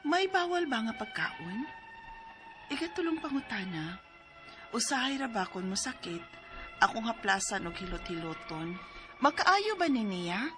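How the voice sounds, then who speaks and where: Worried, plaintive Cebuano mother, music behind. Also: a little high. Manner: plaintive, sighing exclamations. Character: worried, poor mother of an ailing college student. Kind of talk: radio drama acting and letter narration.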